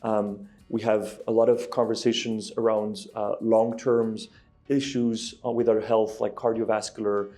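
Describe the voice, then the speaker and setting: A man's voice: lowish voice, flat delivery, a little slow, warm tone, soft background music. A man from Belgium, answering interview questions as a company executive, hesitant with many ums and restarts. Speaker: guest, ingredient company executive.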